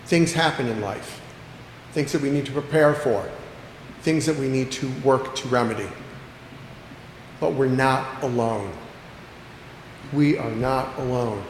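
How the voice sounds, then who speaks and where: A man preaching a sermon as pastor, earnest, slowing down to stress key phrases and repeating lines for emphasis. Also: medium pitch. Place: church sanctuary. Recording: echoey church hall with long reverb, faint background noise.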